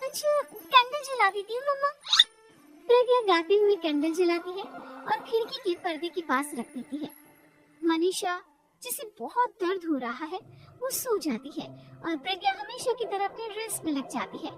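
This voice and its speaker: A woman's voice: very high-pitched, big pitch swings, moderate pace, music in the background, low-quality recording. A woman reading a scripted children's moral story as narrator.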